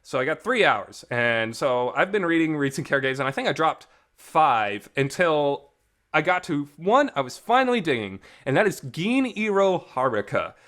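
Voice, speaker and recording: A man's voice: fairly high pitch, very animated, normal speed, fairly bright tone. A man giving a casual, unscripted review in a blunt, rambling way, repeating words for emphasis and swearing. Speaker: reviewer, vlog host. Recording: reverberant home recording.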